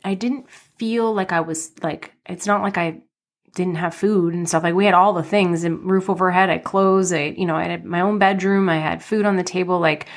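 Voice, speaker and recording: Lowish-voiced woman, a little nasal. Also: steady tone, normal speed. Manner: chatty, rambling with many fillers and restarts. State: slightly nasal from a cold. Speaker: podcast host. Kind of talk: casual personal storytelling.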